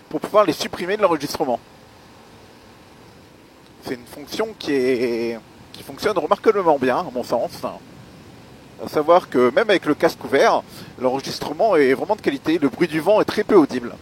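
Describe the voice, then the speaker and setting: A man giving a spoken gear review as a motovlogger, explaining things in a measured, hesitant way with pauses. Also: a little high, a little mellow, slight background noise. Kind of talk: spoken product review while riding.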